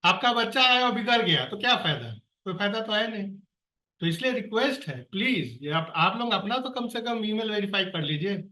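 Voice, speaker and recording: A man's voice: high voice, animated, moderate pace, pushed and bright. A man leading an online staff meeting, urging and pleading with colleagues in an earnest, exasperated way, heard on a video call. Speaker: project coordinator on a staff call. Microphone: computer or phone on a video call. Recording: echoey room, distant from the mic.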